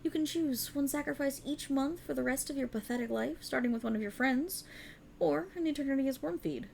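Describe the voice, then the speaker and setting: A woman's voice: high voice, brisk, darkish tone, slight background noise. A woman voicing a cruel, seductive otherworldly patron in a condescending, theatrical ASMR roleplay monologue. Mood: coercive bargaining. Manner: condescending, taunting, theatrical, with breathy chuckles. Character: cruel, haughty otherworldly warlock patron, a seductive dark deity.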